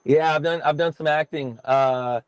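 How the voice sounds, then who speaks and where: A man's voice: fairly high pitch, steady tone, moderate pace, smooth, no echo. A middle-aged man from Texas, chatting casually as a guest musician and telling rambling, self-deprecating stories. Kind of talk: casual podcast chat.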